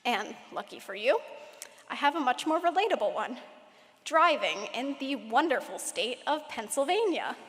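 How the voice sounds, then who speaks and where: A teenage girl delivers her valedictorian speech at a graduation ceremony in a large hall. Her voice sits fairly high, and she speaks at a measured pace with light humor. A long reverb trails each phrase. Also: sing-song. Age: late teens. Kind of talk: prepared commencement speech.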